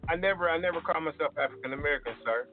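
High-voiced man on a phone-like line. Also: very fast. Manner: emphatic, repeats words and restarts phrases. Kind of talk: unscripted debate. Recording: tinny phone-like line, faint music behind.

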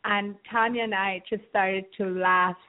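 A woman from Switzerland with a Swiss accent, a guest singer chatting casually, relaxed and laughing lightly. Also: mid-range voice, a little slow, phone-quality sound. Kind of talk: casual chat.

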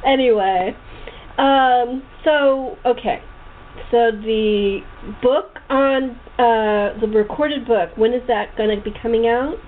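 A woman hosting a casual interview chat, talking in a halting, rambling way with repeated words. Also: on the high side. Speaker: radio show host and voice actor. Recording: tinny phone line with clear noise.

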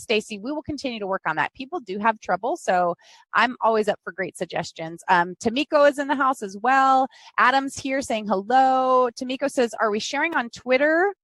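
A woman's voice: fairly high pitch, a bit bright, dry recording. A woman hosting a live-streamed talk show, chatty and bubbly, greeting viewers by name and plugging links. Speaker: podcast host.

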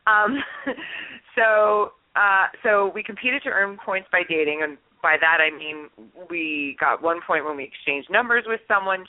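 A woman's voice: lowish voice, no room sound. A woman guest on a radio interview, bubbly and laughing often as she tells a story, acting out what her friends said. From Los Angeles. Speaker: dating expert guest. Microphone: phone call. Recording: tinny phone line.

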